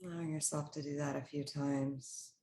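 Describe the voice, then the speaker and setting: A woman with a low, level voice guides a meditation. She speaks in soothing, short phrases and leaves space between them. Her intonation stays very even throughout. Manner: slow, pausing, soothing instructional delivery in short phrases.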